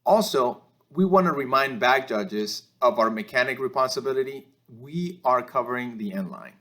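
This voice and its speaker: A man delivers instructional commentary over game footage. His mid-pitched voice is measured and explanatory, with small hesitations as he works through each point like a coach teaching officials. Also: lively intonation, fairly bright tone. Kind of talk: instructional film-review commentary.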